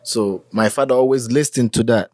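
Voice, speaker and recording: A man's voice: mid-range voice, normal speed, dry recording. A young Nigerian man with a Nigerian accent, a musician chatting casually as an interview guest and slipping into Pidgin. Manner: relaxed, drops into Pidgin, many "you know"s.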